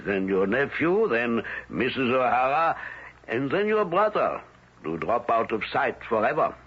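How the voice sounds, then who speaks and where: Coldly menacing village elder, swooping delivery. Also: male voice, mid-range voice, big pitch swings, slightly rough. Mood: cold menace. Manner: formal, deliberate, unrepentant. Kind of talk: 1970s radio drama acting. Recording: dull, noisy old radio broadcast.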